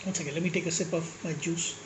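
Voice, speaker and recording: A man vlogging with a slightly high voice, talking casually and bluntly. He rambles with restarts and asides, and curses when exasperated. A faint hiss sits under his voice. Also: fairly even intonation, quick pace. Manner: blunt, exasperated, swearing at critics. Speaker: food vlogger. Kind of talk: casual rant to camera while eating.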